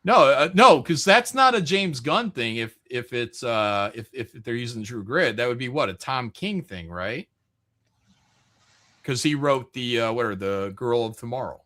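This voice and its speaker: A man's voice: medium pitch, animated, measured pace, sharp tone. A man hosting a livestream, chatting casually and reading out viewer comments in an irreverent, joking, profane way. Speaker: livestream host.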